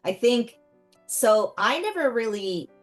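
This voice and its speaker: A woman's voice: fairly high pitch, big pitch swings, slow, faint music behind. A middle-aged woman born in Korea, explaining in casual conversation full of fillers and restarts. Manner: rambling, many fillers and restarts. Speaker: interviewee.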